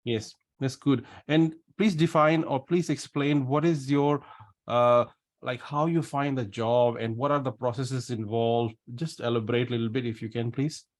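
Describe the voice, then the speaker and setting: A man's voice: medium pitch, steady tone, moderate pace. A man hosting an informal live interview, asking questions and summing up, often repeating his words in agreement. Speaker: interview host.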